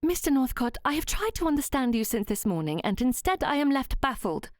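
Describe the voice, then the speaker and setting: A woman voicing an anxious, earnest young gentlewoman in a higher, pleading tone. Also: sing-song, fairly quick, dry recording, clean studio sound.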